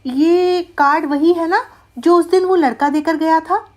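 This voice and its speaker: A woman voicing a caring, practical village wife in an animated moral story, emphatic and coaxing. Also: extremely high pitch, measured pace, voice pushed up. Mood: coaxing, insistent.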